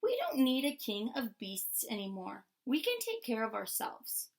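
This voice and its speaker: A firm, no-nonsense water buffalo from a children's tale, voiced by a woman. It speaks out boldly and deliberately. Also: a little high, wildly swooping pitch, gravelly, heavily acted. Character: bold, peacemaking water buffalo.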